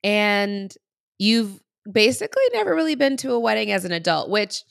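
A woman's voice: medium pitch, very animated, normal speed, vocal fry. A woman hosting a podcast in a casual, chatty interview style, with an upbeat, informal and encouraging manner. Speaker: podcast host and wedding planner.

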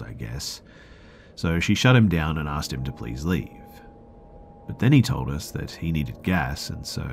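A man with a deep voice narrating first-person scary stories for a podcast, often dropping to a hush. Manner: hushed, slipping into whispers at tense moments.

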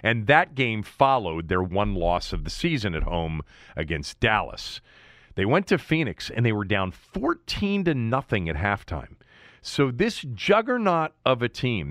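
American guy doing casual sports podcast talk, lowish voice, dry close sound. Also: a bit bright, dry recording.

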